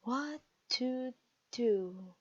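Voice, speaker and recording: A woman voicing an imperious, vengeful vampire queen in a fantasy audio roleplay, taunting a captive with theatrical, deliberate pauses. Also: on the high side, expressive, lo-fi sound, heavily acted. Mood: brooding, bitter. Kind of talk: scripted ASMR fantasy roleplay audio drama.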